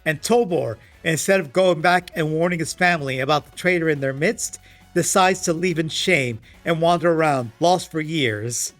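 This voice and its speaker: Male video essayist, higher-pitched, wry and exasperated, casual scripted comedy commentary with faint music underneath. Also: moderate pace, a bit bright. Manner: sarcastic, exasperated; casual, swearing. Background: background music.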